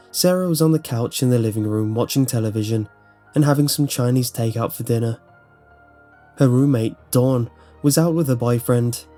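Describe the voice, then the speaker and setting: A man narrates a scripted horror story. His voice is mid-pitched and dark, with a mellow tone. Faint music plays underneath.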